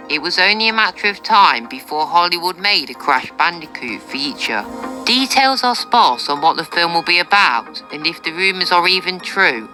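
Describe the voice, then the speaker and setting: Bright woman narrating entertainment news. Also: lowish voice, low-quality recording. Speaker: video narrator. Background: faint music.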